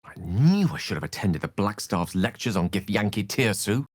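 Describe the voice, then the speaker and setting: Eloquent wizard, richly varied intonation. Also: male voice, lowish voice, expressive, normal speed. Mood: expressive. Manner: erudite, wordy, theatrical phrasing. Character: eloquent, bookish male human wizard, witty, earnest, melancholic. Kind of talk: video game character voice lines.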